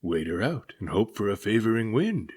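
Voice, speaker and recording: Man playing a ship's captain, gruff growl, low pitch, folksy, resigned, storybook character. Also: very animated, moderate pace, darkish tone.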